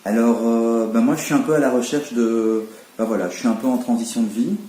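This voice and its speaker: A man's voice: medium pitch, steady tone, a little fast, warm tone, roomy sound. A man around forty, born in Belgium, talking casually in an interview with many fillers and restarts.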